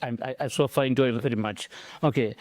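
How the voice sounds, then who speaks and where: A middle-aged man from Sri Lanka whose first language is Tamil, speaking with a Sri Lankan accent and giving an informal conference talk in a conversational, halting way with many repeated words. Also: medium pitch, a little mellow, no room sound. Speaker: academic presenter.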